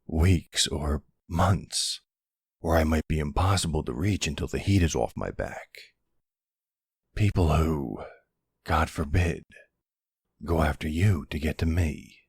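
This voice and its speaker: A man acts out a mafia boss smitten with his date, in a very deep, husky voice. He takes his time over every phrase, courteous and tender. His hushed, hesitant earnestness suits an intimate ASMR romance roleplay. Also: slow, pauses often, no echo, studio quality, full character voice. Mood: somber, sincere. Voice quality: low, gravelly, hushed. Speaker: audio roleplay voice actor.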